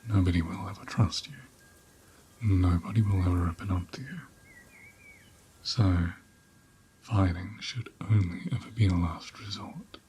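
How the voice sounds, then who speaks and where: A calm, caring ram, acted by a man, murmurs in a low, breathy voice that often falls to a whisper. The delivery is very slow and soothing, in an intimate ASMR roleplay. Some background noise sits behind the close-miked voice. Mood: calm, counselling. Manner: gentle, soothing, soft-spoken, unhurried advice-giving. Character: calm, caring male ram, protective elder of the herd.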